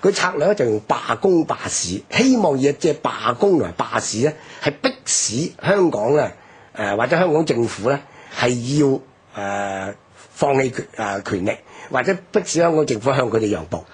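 A man with a Hong Kong Cantonese accent gives an unscripted, emphatic explanation as a history commentator on radio, his voice husky and rough. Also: medium pitch, expressive, moderate pace. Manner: explanatory, emphatic, frequent rhetorical tags. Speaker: history commentator, programme guest.

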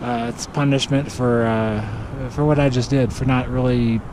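A man's voice: mid-range voice, fairly even intonation, measured pace. A man skiing alone in the backcountry, talking his situation through to his own camera in a hesitant, self-critical way, laughing nervously now and then. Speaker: backcountry skier filming himself. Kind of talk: thinking aloud to camera. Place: snowy mountain forest outdoors. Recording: outdoor wind and camera noise.

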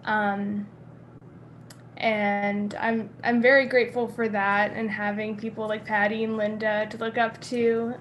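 A woman in her twenties on a video call from a bedroom, speaking earnestly and measured as a panelist. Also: medium pitch, flat delivery, fairly bright tone, slight background noise. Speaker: panelist, young musician. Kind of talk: casual panel talk. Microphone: webcam on a video call.

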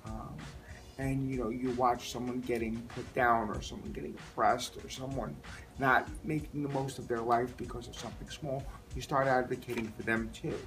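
A middle-aged man with an American accent gives casual interview answers, haltingly, repeating words as he thinks. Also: medium pitch, fairly even intonation. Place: classroom or meeting room. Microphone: phone camera a few metres away. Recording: distant phone recording with music over it.

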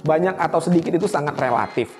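A man's voice: fairly high pitch, fast talker, darkish tone, soft background music. A man, explaining things conversationally to camera as a host and addressing viewers directly. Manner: conversational, addresses viewers as friends with rhetorical questions. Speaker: air traffic controller and channel host. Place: small indoor room, studio-like set. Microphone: clip-on lapel mic.

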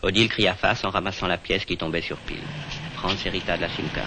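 Low-voiced man narrating an old film. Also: fast, vocal fry. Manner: calm, even, literary storytelling. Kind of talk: scripted voice-over narration. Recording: loud hiss of an old film soundtrack.

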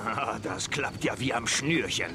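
A man playing a measured, self-assured army general in a western children's audio drama, explaining things deliberately. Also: fairly low pitch. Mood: satisfied, plotting. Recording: clear background noise.

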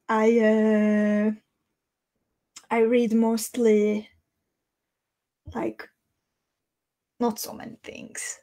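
A woman in her thirties with a Hungarian accent, a native Hungarian speaker, chatting casually and haltingly as she hosts a livestream. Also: medium pitch, a little mellow. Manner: halting, thinking aloud. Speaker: host of a live beading tutorial. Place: small indoor craft room.

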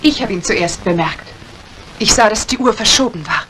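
A woman plays an anxious young guest in a vintage radio mystery. Her voice sits at a middle pitch. The old recording is clearly noisy. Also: lively intonation, moderate pace, lightly acted. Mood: uneasy. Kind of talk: 1950s German radio crime drama acting.

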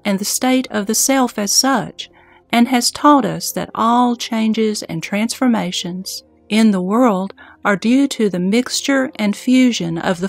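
A woman voicing an earnest, questioning disciple in a scripture audio drama, reverent, formal and measured. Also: on the high side, no echo.

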